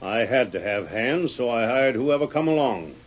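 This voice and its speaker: An actor playing a worried, suspicious ranch boss with folksy cowboy speech in an old-time radio Western. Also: male voice, medium pitch, big pitch swings, normal speed. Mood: uneasy. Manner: folksy cowboy drawl with rustic grammar. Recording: muffled vintage radio recording.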